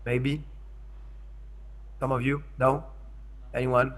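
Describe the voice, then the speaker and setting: A man's voice: medium pitch, flat delivery, slow pace. A man from France with a French accent, giving a hesitant lecture over a video call, often repeating words and checking in with his listeners. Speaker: invited speaker, curator. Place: in São Paulo, remote.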